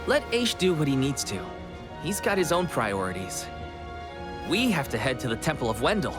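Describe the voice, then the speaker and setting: Earnest young male game hero, lively delivery, loud music behind; man acting. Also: medium pitch, moderate pace, lightly acted. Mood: resolute. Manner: earnest, plain-spoken.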